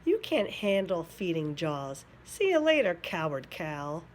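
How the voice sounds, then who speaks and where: A woman's voice: fairly low pitch, sing-song, measured pace, slight background noise. A woman voicing a mean young bully cow in a storybook read-aloud, taunting.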